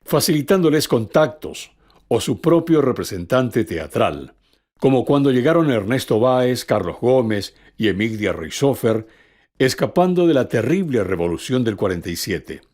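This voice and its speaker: A man's voice: medium pitch, very animated, moderate pace, darkish tone. A man reading scripted documentary narration.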